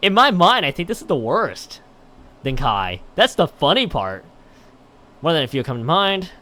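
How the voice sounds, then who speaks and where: A man's voice: fairly high pitch, very animated, moderate pace, sharp tone. A man on a live game stream, reading a visual novel aloud with stumbles and exasperated asides. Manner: stumbles and repeats words while reading.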